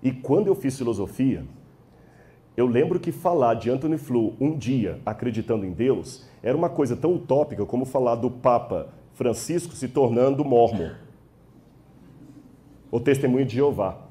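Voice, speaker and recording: A man's voice: medium pitch, expressive, moderate pace, mellow. A man with a Brazilian accent giving a debate speech in an emphatic, didactic manner, checking in with his listeners. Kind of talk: public debate speech, rhetorical lecturing.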